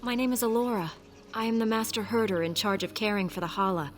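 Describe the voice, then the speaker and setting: Earnest, gentle elven herder acted by a woman. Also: mid-range voice, a little hiss or hum, natural voice. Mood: proud, gentle. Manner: measured, earnest, formal. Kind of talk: fantasy game dialogue acting.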